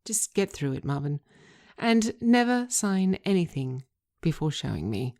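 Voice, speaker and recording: A woman's voice: low-pitched, sing-song, a little slow, a little mellow, exaggerated voice. A woman playing an exasperated, stern lawyer with a clipped, reproving manner, in a scripted audio drama. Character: exasperated, stern female lawyer scolding her client.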